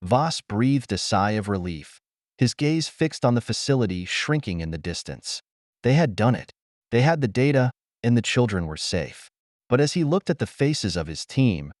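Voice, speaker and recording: A man's voice: fairly low pitch, dry recording, clean studio sound. A man reading a science fiction adventure story as an audiobook narrator, in a dramatic, earnest manner.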